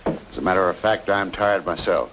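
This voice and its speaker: An actor playing a soothing, persuasive older friend with a gravelly voice in an old radio drama, uneasy underneath. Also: male voice, deep voice, normal speed, close to an everyday voice. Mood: coaxing. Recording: dull, noisy old radio recording.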